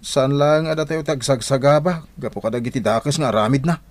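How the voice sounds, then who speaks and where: A man's voice: medium pitch, brisk, close to an everyday voice. A man acting a judgmental, gossiping Filipino village neighbour in an Ilocano radio drama. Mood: disapproving.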